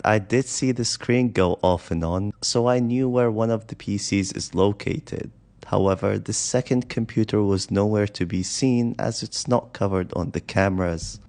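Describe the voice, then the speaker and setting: A man's voice: fairly low pitch, gravelly, darkish tone. A man presenting a scripted narration as the host of a video. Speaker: host of scam-exposing video channel.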